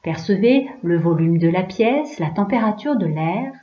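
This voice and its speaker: A woman yoga teacher leading a guided relaxation in a hushed, soothing, unhurried way, leaving pauses between short phrases. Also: mid-range voice, lively intonation. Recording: roomy, slightly dull recording.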